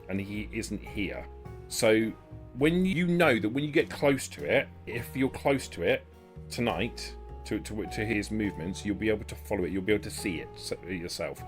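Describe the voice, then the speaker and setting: Low-voiced man as game master. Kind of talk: tabletop game narration and rulings.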